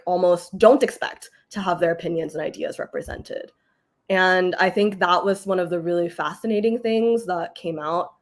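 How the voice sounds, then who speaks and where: A young woman talks in a fairly low voice that is slightly hoarse today. She reflects aloud in a group discussion, leaning on fillers and restarting her sentences as she works out her thoughts. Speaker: exhibit organizer.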